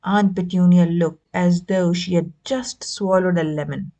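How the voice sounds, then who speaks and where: A woman reading a children's novel aloud as an expressive narrator. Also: fairly low pitch, measured pace. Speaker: audiobook reader. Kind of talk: expressive scripted story narration.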